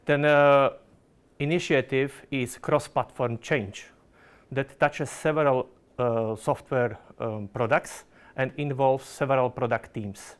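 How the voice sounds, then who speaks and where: A Slovak man, a product manager, giving a conference presentation in careful non-native phrasing full of fillers and restarts. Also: mid-range voice.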